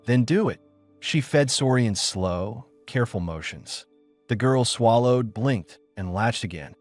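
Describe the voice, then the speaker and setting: A man's voice: medium pitch, animated, unhurried, no echo. A man narrating a scripted story as an audiobook, with music behind him.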